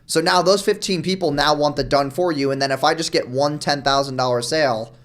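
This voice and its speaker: A young man from New York, a guest on a podcast, talking fast and casually, throwing in "dude" and "like" often. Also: a little high, fairly bright tone. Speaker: guest, marketer.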